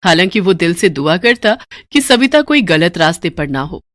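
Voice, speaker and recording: A woman's voice: lowish voice, very animated, normal speed, a bit bright, no echo, studio quality. A woman narrating a scripted cartoon story in a dramatic storytelling style.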